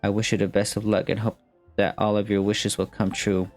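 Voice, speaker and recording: Male gaming host, lowish voice, informal and slangy, casual commentary over faint game music. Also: level tone, brisk. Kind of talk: casual gameplay commentary, reading game text aloud.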